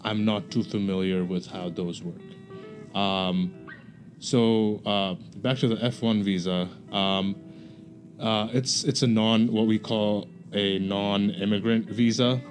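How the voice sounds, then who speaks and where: A man's voice: on the low side, fairly even intonation, slow pace, faint music behind. A young man from Bangladesh, a podcast guest, explaining things casually with many hesitations and fillers.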